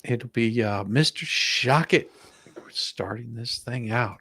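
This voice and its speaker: A man's voice: medium pitch, measured pace, a bit bright, slightly rough, no room sound. A man hosting a stream in casual, jovial, chatty talk. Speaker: stream host. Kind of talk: casual chat.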